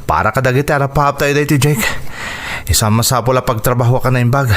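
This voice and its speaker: A man's voice: medium pitch, steady tone, quick pace, close to an everyday voice. A man acting in an Ilocano radio drama as a determined, hardworking boxer in training. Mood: earnest, determined.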